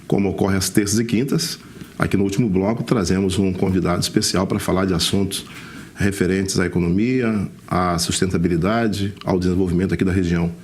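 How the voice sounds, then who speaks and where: A man's voice: low-pitched, fairly even intonation, brisk, darkish tone. A Brazilian man hosting a television interview segment, addressing the viewers and his guest. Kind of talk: broadcast interview.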